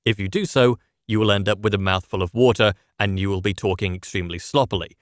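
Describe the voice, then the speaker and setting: A man presenting scripted instructional advice, speaking in a measured, explanatory way straight to the listener. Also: on the low side, no echo, studio quality. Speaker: presenter of public speaking lessons.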